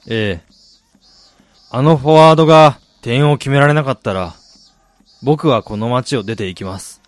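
An actor playing a weary, reflective middle-aged man in a radio drama, recounting his losses in a measured, confessional way. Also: mid-range voice, no room sound, close to an everyday voice. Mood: tense, hopeful. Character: weary man who lost family, confessional, brooding. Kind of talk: Japanese radio drama acting.

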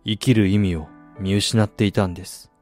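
An actor playing a weary, reflective middle-aged man in a radio drama, recounting his losses in a measured, confessional way. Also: on the low side, steady tone, a little mellow, soft background music, natural voice. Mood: reflective, haunted. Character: weary man who lost family, confessional, brooding. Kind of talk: Japanese radio drama acting.

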